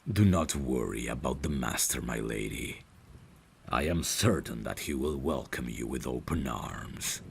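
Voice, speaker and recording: A man playing a devoted, courteous vampire butler in an ASMR audio drama, speaking formally and gently in a hushed, gravelly voice that slips toward whispers. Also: very deep voice, lively intonation, a little hiss or hum, heavily acted. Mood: reassuring, solemn. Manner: slow, formal, gentle, deferential.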